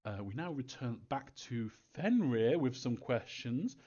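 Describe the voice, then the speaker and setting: Man, mid-pitched voice, halting and rambling, developer fielding fan questions, dull low-fidelity sound. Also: sing-song, dark tone. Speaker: visual novel developer answering fan questions. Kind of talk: informal live Q&A, thinking aloud and reading questions.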